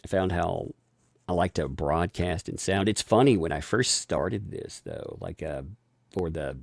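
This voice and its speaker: Man, gravelly low voice, dry close mic, rambling live-stream host. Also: measured pace, no echo. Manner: rambling, restarts sentences, chuckles often. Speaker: live-stream host reading viewer donations. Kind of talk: casual live-stream chat.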